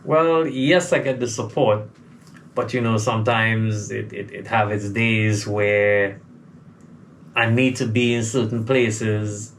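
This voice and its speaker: Trinidad-born male artist guest, faint accent, gravelly, hesitant. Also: lowish voice, fairly even intonation, slow, a little hiss or hum. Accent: faint Trinidadian, mostly lost. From Trinidad and Tobago. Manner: hesitant, repeats words as he starts. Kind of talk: casual interview.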